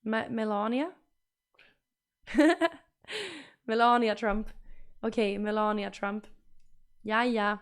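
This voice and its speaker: A woman's voice: medium pitch, level tone, takes it slowly, pauses often, clean tone. A young woman with a Swedish accent, a streamer chatting casually and reading out her chat, bubbly and repetitive. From Sweden.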